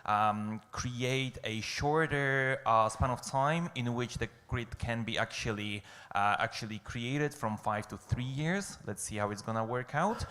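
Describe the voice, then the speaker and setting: A man's voice: medium pitch, unhurried, hardly any pauses, pushed and bright. Man from Poland giving a conference report. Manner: hesitant, many fillers and restarts. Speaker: rapporteur for a working group. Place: conference hall on an island.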